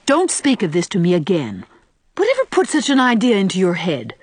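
A woman's voice: mid-range voice, huge pitch swings, a little fast, husky, no room sound. A woman voicing a polite, dignified young female schoolteacher with proper, prim speech in an audiobook. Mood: indignant, haughty. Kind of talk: audiobook character voice.

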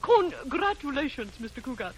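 A woman's voice: sits high, big pitch swings, normal speed. An actress playing a prim, formal woman who directs an amateur play, speaking on the phone in a 1940s radio comedy. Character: prim middle-aged woman theatre director.

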